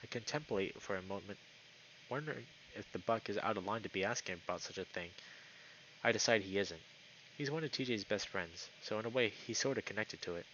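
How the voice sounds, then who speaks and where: A man's voice: on the low side, a little fast, dull audio, slight background noise. A man streaming a visual novel, chatting casually and reading the narration aloud. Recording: loud music behind the voice.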